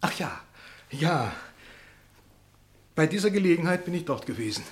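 An actor playing an uneasy, evasive middle-aged gentleman in a German radio play, nervous and halting. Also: a little high, big pitch swings, slight background noise. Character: uneasy, evasive wealthy father with guilty secret.

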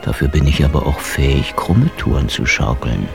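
An actor playing a world-weary, sarcastic private detective from Soviet times in a radio drama, with a dry, laconic delivery. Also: male voice, very deep voice, expressive, normal speed, gravelly, music in the background, heavily acted. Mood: wry, weary. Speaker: first-person narrator and lead character. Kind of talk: noir radio drama monologue and dialogue.

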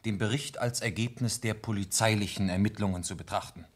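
An actor playing a composed, tactful police lieutenant in a German radio play, speaking formally and with measure. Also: male voice, on the low side, steady tone, a little fast, close to an everyday voice. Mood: formal, reading aloud.

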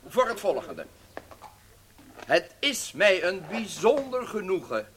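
Formal club chairman making announcement, high voice, really slow, cordial, radio play, noisy. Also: sharp tone, exaggerated voice. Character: ceremonious, cordial club chairman addressing the members.

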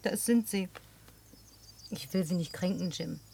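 A woman's voice: on the low side, measured pace, gravelly, warm tone, slight background noise. An actress playing a teasing, worldly American city woman in a naturalistic German radio play, speaking in a playful, probing, colloquial way. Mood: playful, curious.

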